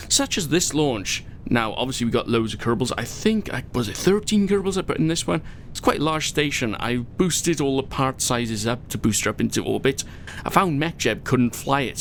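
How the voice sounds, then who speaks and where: A man's voice: mid-range voice, slight background noise. A Welsh man hosting a game video, chatting casually and poking fun at himself as he plays. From Wales. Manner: chatty and self-mocking, rambling asides, frequent laughs. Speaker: gaming channel host. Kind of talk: casual gameplay commentary.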